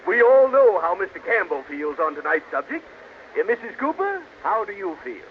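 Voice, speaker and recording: High, blustery forum chairman. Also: sing-song, moderate pace. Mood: officious. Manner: pompous, stammering formality. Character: blustery, officious forum chairman, easily exasperated older man. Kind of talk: 1940s radio sitcom acting. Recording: noisy, muffled old radio recording.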